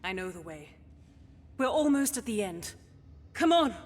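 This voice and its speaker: An actress playing a proud, bitter young noblewoman with a sharp, haughty, accusing manner in a dramatic video game. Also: medium pitch, big pitch swings, a little slow, in a large hall, a little hiss or hum. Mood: urgent.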